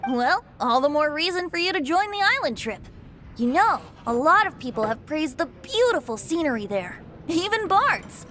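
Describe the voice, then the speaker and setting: A woman's voice: high voice, big pitch swings, normal speed, a bit bright. A woman playing a playful, teasing, boyish young bard in a video game, breezy and theatrical, with music behind. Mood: breezy, reassuring. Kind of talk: video game character acting.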